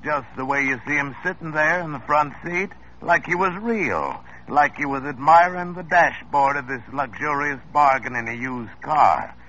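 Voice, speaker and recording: An actor playing a smooth-talking used car dealer with folksy sales patter. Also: male voice, on the high side, animated, moderate pace, hardly any pauses, bright, forceful tone. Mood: salesy. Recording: muffled old radio recording with faint hiss.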